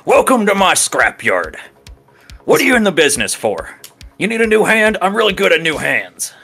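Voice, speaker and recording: A man voicing a gruff, folksy junkyard scrapper in a tabletop roleplaying game. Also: high voice, sing-song, moderate pace, bright, forceful tone, faint music behind, voice pushed up, exaggerated voice. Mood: hawking. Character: gruff, eager junkyard scrapper, fond of his drones.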